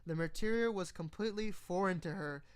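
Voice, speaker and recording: A woman reading a story as narrator, a little halting, stumbling over some words. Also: fairly low pitch, clear, resonant tone. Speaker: fan fiction reader.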